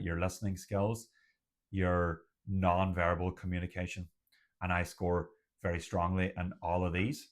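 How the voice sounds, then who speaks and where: A young man, a doctor who stutters, talking to camera about his own stuttering in a measured, deliberate way with occasional stutters. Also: low-pitched. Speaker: doctor and community host. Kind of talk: personal talk to camera.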